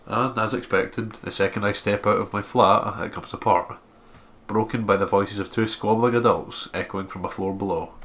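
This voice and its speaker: A man's voice: lowish voice, fairly bright tone. A man reading a visual novel aloud as narration, expressively, with casual asides.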